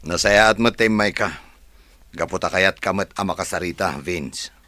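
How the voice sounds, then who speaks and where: Radio drama role: courteous, cautious Filipino businessman, lowish forceful voice, dry close sound. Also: normal speed, dry recording. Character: courteous, cautious businessman, farm owner turning down a partner.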